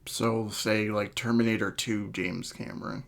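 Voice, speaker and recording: A man's voice: fairly low pitch, steady tone, measured pace, creaky. A man chatting casually as a podcast co-host, laid-back and agreeable in manner. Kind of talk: casual chat.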